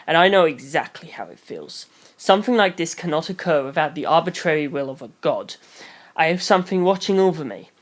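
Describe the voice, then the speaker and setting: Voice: mid-range voice, lightly acted. A narrator voicing an eleven-year-old boy detective in an audiobook, earnest, intense and grandly self-certain, given to pompous speeches.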